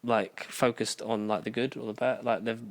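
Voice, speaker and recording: A man's voice: fairly low pitch, fairly even intonation, moderate pace. A man co-hosting a podcast, chatting casually and rambling with lots of fillers. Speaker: podcast co-host. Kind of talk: casual chat.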